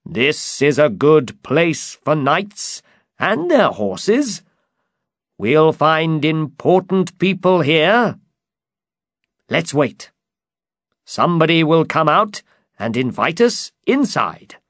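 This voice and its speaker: A man's voice: on the high side, sing-song, takes it slowly, a bit bright, dry recording, studio quality, pitched above the performer's own voice, heavily acted. A man voicing an eager, pompous old would-be knight in a storybook, speaking with earnest chivalry. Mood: grand, expectant. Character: eager, grandiose old man who believes he's a knight. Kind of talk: dramatized storybook reading.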